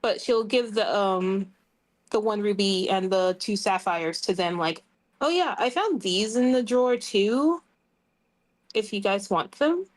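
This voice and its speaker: A woman's voice: mid-range voice, animated, a little slow, rough recording. A woman playing a tabletop roleplaying game, casually describing what her character does. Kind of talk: casual improvised roleplay chat.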